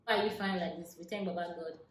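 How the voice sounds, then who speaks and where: A woman in her twenties with a Nigerian accent, chatting casually to camera as she answers viewer questions, laughing often and dropping into soft asides. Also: on the low side, fairly even intonation, fast talker. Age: 20s. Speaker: vlogger. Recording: echoey room with faint noise.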